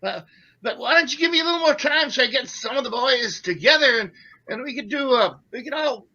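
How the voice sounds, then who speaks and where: A man's voice: very high-pitched, animated, brisk, sharp tone, dull audio, exaggerated voice. A man playing a blunt, gullible, anxious gangster henchman in a 1940s-style radio play, slangy and eager to please. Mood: uneasy, doubtful.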